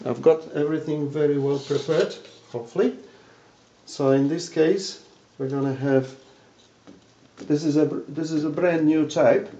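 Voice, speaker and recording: A man's voice: fairly high pitch, fairly even intonation, measured pace, warm tone, husky. A man giving an informal hands-on demonstration, hesitant and searching for words. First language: not English. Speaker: video presenter demonstrating audio gear. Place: indoors at home, by a tape deck. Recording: dull lo-fi home recording.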